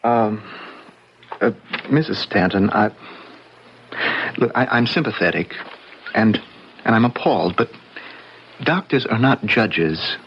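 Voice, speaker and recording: A man's voice: on the low side, lively intonation, slow, long pauses. An earnest young doctor, speaks politely and hesitantly, picking his words uneasily. The drama recording is dull with faint noise. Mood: uneasy, principled. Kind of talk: radio drama acting. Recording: muffled, noisy old radio broadcast.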